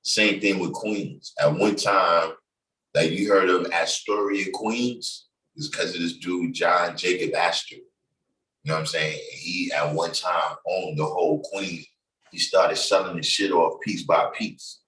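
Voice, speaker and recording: A very low-voiced New York man talks in a loose, rambling way, full of fillers. He sounds set back from the microphone in an echoey room. He is middle-aged and hosts his own livestream. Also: steady tone, unhurried, bright, forceful tone. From New York City, Bronx. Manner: loose, conversational, many fillers. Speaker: livestream host. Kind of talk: casual livestream talk. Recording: echoey room, distant from mic.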